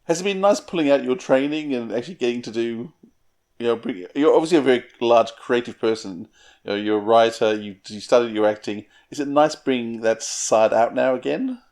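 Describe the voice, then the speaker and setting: A man's voice: medium pitch, a little fast, a little mellow. A man interviewing a guest in a casual, conversational and slightly hesitant way. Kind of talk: casual interview questions and commentary.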